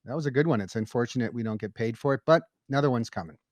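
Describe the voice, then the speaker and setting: Guy co-hosting a comedy podcast, normal pitch, dry close sound, casual joking asides. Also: racing, a little mellow, no echo. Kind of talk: casual banter.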